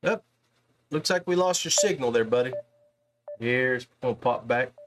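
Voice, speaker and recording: A man's voice: mid-range voice, moderate pace, background noise. A man hosting a podcast, interviewing in a casual, folksy, chummy way and often saying man and brother. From West Virginia, United States. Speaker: podcast host interviewing anglers.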